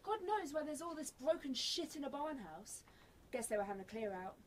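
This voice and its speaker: A woman plays a young survivor in a homemade radio drama. Her voice is high and animated, with a teasing, sarcastic edge, recorded with noticeable noise and clicks. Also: a little fast. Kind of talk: amateur radio drama acting.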